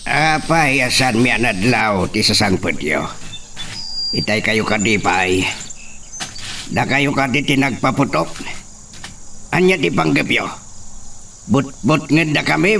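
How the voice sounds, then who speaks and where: A man's voice: mid-range voice, animated, a little slow, gravelly, long pauses, pushed and bright, recorded from a distance, slight background noise, exaggerated voice. A man playing a wary, thoughtful old rural father in an Ilocano radio drama. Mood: alarmed, indignant. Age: older.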